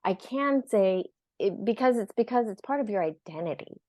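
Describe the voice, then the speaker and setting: A woman's voice: mid-range voice, expressive, moderate pace, a little mellow. A woman hosting her first podcast interview, enthusiastic and encouraging as she draws out her guest. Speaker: podcast host. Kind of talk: conversational interview.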